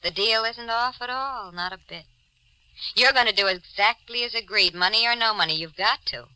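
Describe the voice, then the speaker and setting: An actress playing a cold, manipulative femme fatale in a 1940s radio thriller, coolly taunting and insistent. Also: female voice, on the high side, moderate pace, pushed and bright, smooth, no echo, low-quality recording. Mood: menacing, taunting.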